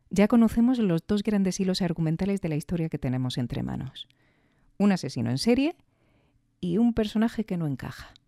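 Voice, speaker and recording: Mellow woman, thoughtful podcast commentary. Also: sits low, no room sound, clean studio sound. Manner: thoughtful, rhetorical questions, emphatic repetition. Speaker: podcast host. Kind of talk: reflective commentary on audio fiction.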